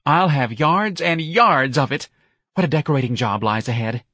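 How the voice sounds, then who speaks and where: A man voicing an effeminate young man, breathy, gushing and dramatic, as a character in an audiobook. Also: medium pitch, moderate pace, bright, forceful tone, dry recording, studio quality, pitched above the performer's own voice. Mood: gushing, delighted.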